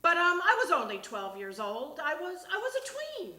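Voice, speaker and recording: A woman performing a comic personal monologue on stage, in a halting, conversational way with dramatic pauses. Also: sits high, sing-song, bright, forceful tone. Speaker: reader at a live storytelling show. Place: small theatre stage. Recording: echoey live stage recording.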